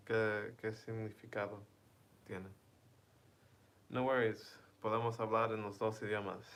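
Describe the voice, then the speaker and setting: Slow-talking man chatting with his stream. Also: on the low side. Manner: reads chat aloud, self-translates between English and Spanish; laughs softly between lines. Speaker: livestream host. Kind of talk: casual chat with viewers.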